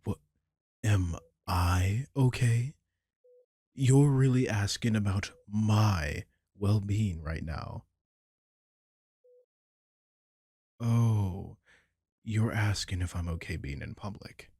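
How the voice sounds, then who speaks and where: A deep, very husky male vampire king, acted by a man, protective and worried over an injured companion. He talks slowly and tenderly in an intimate audio roleplay, close on the mic. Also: a little mellow, no room sound, heavily acted. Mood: soft, relieved. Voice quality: gravelly, husky.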